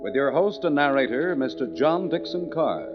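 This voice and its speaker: A man's voice: fairly high pitch, sing-song, moderate pace. A man announcing a 1940s radio mystery show in a bold, formal, scripted style. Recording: muffled vintage radio recording. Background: loud music.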